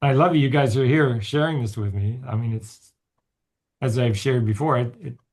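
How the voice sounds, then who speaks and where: A man with a raspy voice who hosts a group video call. He rambles casually, restarting often. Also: medium pitch, moderate pace, a little mellow. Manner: rambling, with many restarts and chuckles. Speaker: host and mythologist.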